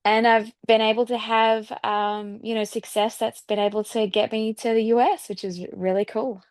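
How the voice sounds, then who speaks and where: A woman with an Australian accent chatting casually as an interview guest, often softening points with filler phrases like "kind of". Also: fairly high pitch, little pitch movement, normal speed, clean tone, dry recording, studio quality. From Sydney, Australia. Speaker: guest singer-songwriter.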